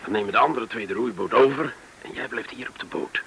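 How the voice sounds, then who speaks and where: An actor playing a brisk, decisive man leading a covert landing in a radio play. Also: medium pitch, fairly bright tone, lightly acted. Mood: businesslike. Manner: terse, decisive. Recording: faintly noisy old radio recording.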